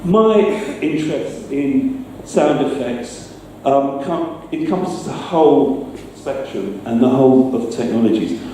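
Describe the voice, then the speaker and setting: A man presents informally in a chatty, slightly hesitant way. His voice is dark and relaxed. It comes from some distance in a strongly echoing room, with a little background noise. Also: mid-range voice, slow pace, hardly any pauses. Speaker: presenter, sound effects maker. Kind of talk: informal public talk with demonstration. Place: live talk in a reverberant indoor venue. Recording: echoey room, distant mic, faint noise.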